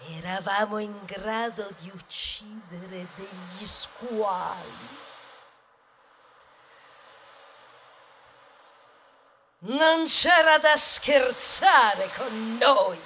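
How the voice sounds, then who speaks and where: A woman playing a vain, melodramatic plastic bag in a theatrical monologue, grandiose and lamenting, with dramatic pauses and swings into whispers. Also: medium pitch, sharp tone, a little hiss or hum, exaggerated voice. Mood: menacing pride. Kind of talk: theatrical monologue in a stage ballad. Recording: music under the voice. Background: music.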